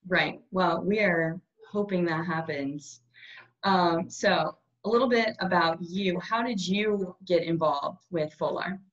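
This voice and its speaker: A woman's voice: lowish voice, takes it slowly. Cheerful woman hosting a video call. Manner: cheerful, encouraging. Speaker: host. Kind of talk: casual video-call interview. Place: indoors, small plain room. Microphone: webcam on a video call. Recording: dull lo-fi webcam sound.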